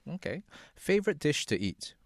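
A man hosting a podcast, asking questions in a relaxed, easygoing way with frequent uhs. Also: on the high side, normal speed, vocal fry, no room sound, studio quality. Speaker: podcast host. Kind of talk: casual interview chat.

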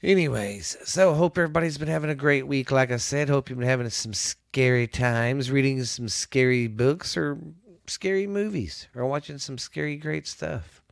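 A man's voice: moderate pace, creaky, no room sound, studio quality. A man hosting a podcast in a casual, rambling way, his voice stuffy and congested from a sinus cold. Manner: rambling, drops to low spooky whispers. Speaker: podcast host.

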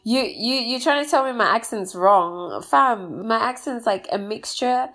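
Woman from Zimbabwe with a Mancunian accent, slangy and lively, a little high; casual chat. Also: unhurried. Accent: Mancunian English. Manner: animated, laughs often, slangy.

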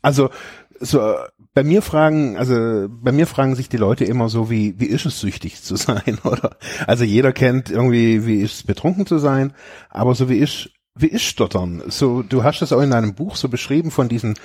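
A man interviewing a guest, curious and gently probing, with a husky voice. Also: mid-range voice, normal speed, no echo, studio quality. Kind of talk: conversational interview.

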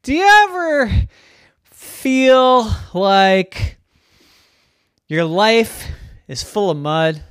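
A woman voicing an eager young female pig who wants to be a comedian, in a squeaky, pleading, chatty way, for a bedtime story. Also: lively intonation, extremely slow, pauses often, dry recording, studio quality.

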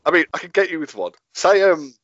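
A man's voice: on the high side, big pitch swings, fairly quick, fairly bright tone, dry recording, muffled recording. A man from Birmingham in casual chat on a video call, telling rambling anecdotes and laughing easily. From Birmingham, England.

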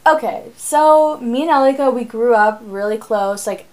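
A teenage girl co-hosting a podcast, chatting casually in an animated, rambling way, often laughing. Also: a little high, normal speed. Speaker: podcast co-host. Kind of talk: casual chat.

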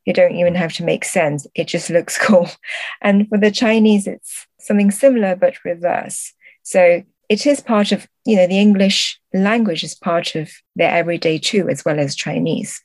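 A woman with a slightly low voice talks as a guest in a casual interview. She explains things thoughtfully, and the recording is very dry with no room sound. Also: normal speed, a little mellow, dry recording. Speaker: podcast guest, literary translator.

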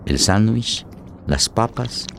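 An actor playing a grave, solemn narrator in a tragic radio play, with deliberate phrasing. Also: male voice, fairly low pitch, lively intonation, vocal fry, fairly bright tone, slight background noise, full character voice. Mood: grave, tense.

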